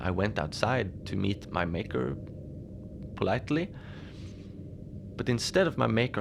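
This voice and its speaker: A man playing a mild, unbothered middle-aged station manager in a cozy audio drama, confiding in a halting, rambling, understated way. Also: on the low side, vocal fry, noisy background, exaggerated voice. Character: mild, unbothered lone Antarctic night-shift station manager. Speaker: podcast fiction narrator, lone facility manager on radio.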